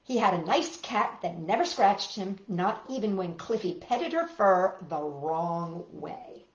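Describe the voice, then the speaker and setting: A woman's voice: medium pitch, expressive, unhurried, reverberant, lo-fi sound. A woman hosting a children's story time, reading aloud and chatting playfully and expressively straight to young listeners.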